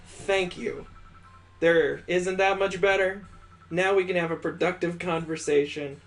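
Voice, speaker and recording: Here is a man playing a living teapot, a proper lady in a visual novel game. She is motherly and familiar in her address, yet frank and brusque, with a somewhat raised pitch. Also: fairly even intonation, measured pace. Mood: sharp, impatient. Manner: addresses listener as dear, frank.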